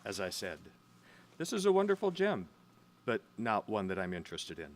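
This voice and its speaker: An actor playing a courteous, condescending gemstone dealer in an audio drama, speaking formally. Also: male voice, lowish voice, wildly swooping pitch, moderate pace. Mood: smooth, dismissive. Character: polite, shrewd male gemstone auction dealer.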